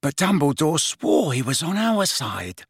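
A man voicing a hushed, doubtful young witch in an audiobook. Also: on the high side, very animated, a little slow, slightly rough, no room sound, studio quality, voice pushed up, exaggerated voice. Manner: whispering.

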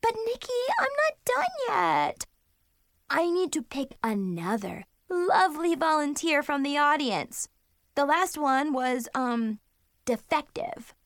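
A woman's voice: high-pitched, very animated, slow, fairly bright tone, no room sound, pitched above the performer's own voice, exaggerated voice. A woman voicing a bratty, theatrical little girl who plays stage magician in a storybook, showy and prone to whining. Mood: whiny. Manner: showy announcing, whiny when thwarted.

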